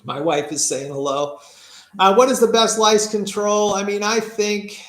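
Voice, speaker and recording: A man's voice: sits high, unhurried, a bit bright. A man from Massachusetts with a Boston accent, reading out viewers' questions and answering them off the cuff in a tired, casual way. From Massachusetts, USA. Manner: reads questions aloud and answers off the cuff, with fillers and restarts. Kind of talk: casual live-stream Q&A. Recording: echoey room.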